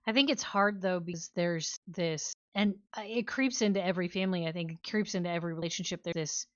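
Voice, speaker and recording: A woman's voice: fairly low pitch, fast talker, no echo, muffled recording. A woman co-hosting a book club podcast, talking casually in an analytical, questioning way.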